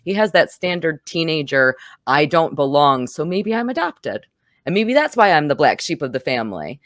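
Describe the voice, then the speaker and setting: A woman's voice: sits low, big pitch swings, normal speed, dry recording, clean studio sound. A woman hosting a podcast, recapping and reviewing a movie in a conversational way. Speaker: podcast host and film reviewer.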